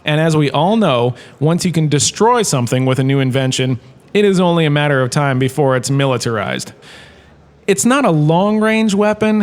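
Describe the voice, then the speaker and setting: An actor playing a washed-up former star turned lecturer, giving a breezy, joking lecture in a comic audio drama. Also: male voice, a little high, lightly acted. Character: washed-up former star, now a lecturer, chatty and self-important.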